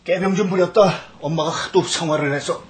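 A man's voice: fairly high pitch, steady tone, a little fast, close to an everyday voice. A man in his thirties playing an easygoing, teasing father in a children's family drama. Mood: playful teasing. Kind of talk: naturalistic family drama acting.

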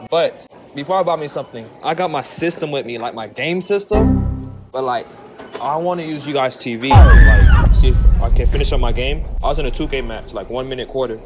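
Young man pleading at a fast food counter. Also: medium pitch, over loud music. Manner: pleading, repeats "bro", animated. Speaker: prank vlogger. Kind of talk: casual prank chat with staff.

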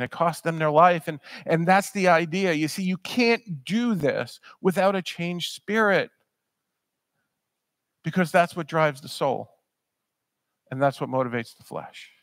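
A man's voice: on the high side, dry recording, clean studio sound. A man in his thirties preaching a sermon in a church, earnest and emphatic, often repeating words as he presses a point. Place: church sanctuary, speaking at a pulpit.

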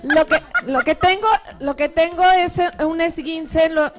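A woman in her thirties, a TV host on a live outdoor link, telling her story in a lively, chatty way into a handheld mic. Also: very high voice, measured pace. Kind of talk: casual live TV chat. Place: outdoors on a leafy street.

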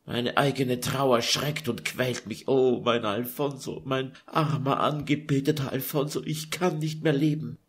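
A man's voice: medium pitch, fairly even intonation, a little slow, hardly any pauses, pitched above the performer's own voice, lightly acted. A man voicing an eighteen-year-old grieving duchess who pleads and laments through sobs. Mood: despairing. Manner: sobbing, pleading, breathy lament.

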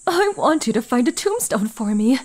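A woman playing a guarded, gentle, melancholy young woman in an anime dub, soft-spoken and hesitant. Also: on the high side, big pitch swings, moderate pace. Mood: wistful. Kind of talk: anime manga dub acting and narration.

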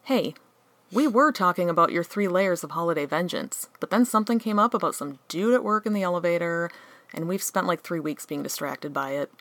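A woman's voice: on the low side, expressive, brisk, fairly bright tone, no room sound. A woman playing a weary, sarcastic, easily distracted angel in a comic radio play, offhand and exasperated. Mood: irritated.

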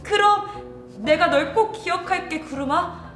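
A woman's voice: very high voice, unhurried, pitched above the performer's own voice. A woman voicing an emotional, tender young rainbow girl who doubts herself, in a children's storytelling. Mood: anxious, pleading. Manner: plaintive, emotional, exclaiming. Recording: roomy echo, music underneath.

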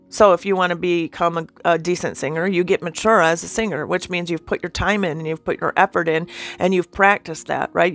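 A woman's voice: fairly low pitch, gravelly. A woman with a nasal, sinusy voice giving an informal spiritual teaching. She talks earnestly and keeps checking in with the listener.

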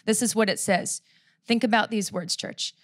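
A woman in her thirties with a Canadian accent, preaching on a church stage into a handheld mic, conversational and self-deprecating, slowing down to read scripture. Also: mid-range voice, fairly even intonation, no echo, studio quality. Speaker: pastor. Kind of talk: sermon. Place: church stage before a seated audience. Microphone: handheld microphone.

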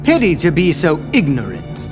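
Snooty villain scientist, pompous, super dramatic swoops, spooky music behind. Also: male voice, fairly high pitch, huge pitch swings, moderate pace. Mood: scornful. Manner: pompous, theatrical. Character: haughty, irritable adult villain scientist, easily outraged. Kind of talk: video game cutscene acting. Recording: scary music under the voice.

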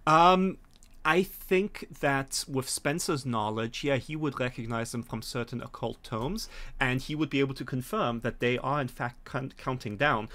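A man acting as game master, explaining a scenario in a hesitant, halting way. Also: mid-range voice, fairly bright tone. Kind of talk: narrating a tabletop game scenario. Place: small home room, video call.

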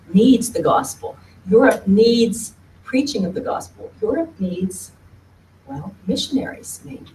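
A woman's voice: mid-range voice, darkish tone. A woman giving a conversational talk from a lectern to an audience in a meeting room, often repeating words as she goes. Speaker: speaker giving a history talk. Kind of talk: presentation at a lectern. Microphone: far camera at the back of the room. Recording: roomy hall from far off, faint hum.

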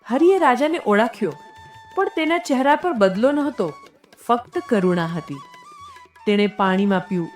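The woman you would hear telling an inspirational fable on a story channel, earnest and measured, with music playing underneath. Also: on the high side, lively intonation. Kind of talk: scripted moral story narration. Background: background music.

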